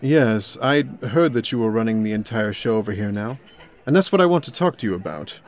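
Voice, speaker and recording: A man's voice: lowish voice, lively intonation, fairly quick, natural voice. A man playing a vain, scheming prince, suave and self-satisfied, in a comic dub. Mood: smug, persuasive. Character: smug, scheming charming prince, vain smooth talker.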